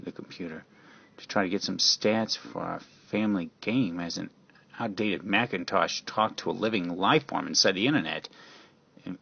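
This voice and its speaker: Gravelly, low-voiced man narrating dramatically. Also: bright, forceful tone, highs cut off. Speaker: storyteller narrator. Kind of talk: dramatic first-person fan fiction narration.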